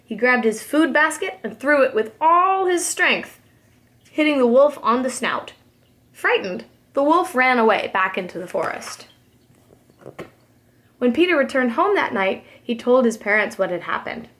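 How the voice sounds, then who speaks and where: High, lively woman reading a storybook. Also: a little slow. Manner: expressive, addresses young listeners. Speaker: preschool teacher. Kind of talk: storybook reading for young children.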